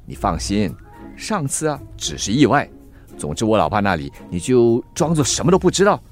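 A man's voice: on the low side, very animated, moderate pace, soft background music. A man with a Singaporean accent acting in a radio drama as a rich young master, secretive, brusque and bantering. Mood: evasive, reassuring.

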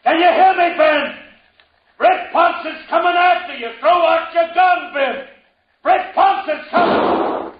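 An actor playing an aging father who shouts desperately across a distance to his son. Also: extremely high pitch, slow, muffled recording, some chatter behind. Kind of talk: 1950s radio drama acting. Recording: echoey, hissy old radio broadcast.

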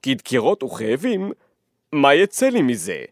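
A man's voice: medium pitch, wildly swooping pitch, heavy background noise, pitched below the performer's own voice. A man voicing a smug, single-minded magical creature with a dry, parched voice and a clipped, soldierly manner. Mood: smug, puzzled.

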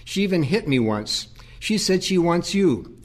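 A man's voice: high-pitched, very animated, unhurried, long reverb tail, full character voice. A man acting in an audio play as a stressed, pleading priest who cares for his confused mother. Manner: earnest, burdened, pleading.

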